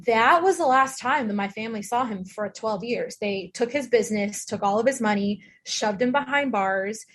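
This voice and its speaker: A woman's voice: on the high side, normal speed, a bit bright. A woman life coach, a guest on the show, telling personal stories candidly and with emphasis. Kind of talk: personal storytelling in interview.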